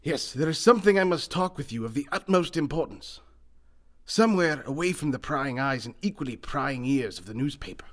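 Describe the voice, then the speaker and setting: An actor playing a pompous, wealthy newspaper boss with a grandiose, theatrical manner in a comedic radio play. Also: male voice, mid-range voice, expressive, fairly quick, lightly acted. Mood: grandly hospitable.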